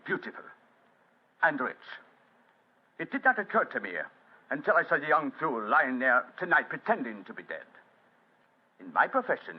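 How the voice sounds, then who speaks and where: A suave gambler of fatalistic pride in a vintage radio play. His voice is husky and moves expressively up and down, with formal, measured phrasing. The sound is muffled and old. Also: mid-range voice. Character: suave French casino manager, proud, fatalistic gambler. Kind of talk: 1940s radio acting.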